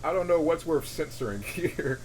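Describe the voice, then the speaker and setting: A man's voice: lively intonation, normal speed, a little hiss or hum, poor audio. A young man, chatting casually on a podcast, laid-back and rambling with many fillers. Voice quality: deep voice. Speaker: podcast co-host. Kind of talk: casual chat.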